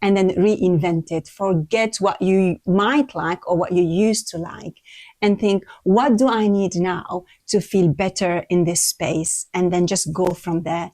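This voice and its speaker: A woman's voice: on the low side, fairly even intonation, measured pace, warm tone. Middle-aged woman presenting, English. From Lebanon and Iraq. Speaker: interior designer, presenter. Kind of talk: informal home tour presentation.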